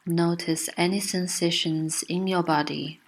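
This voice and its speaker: Slow, gentle woman guiding a meditation. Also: fairly low pitch, steady tone, poor audio. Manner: gentle, soothing, unhurried instruction. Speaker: meditation guide. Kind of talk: guided meditation narration.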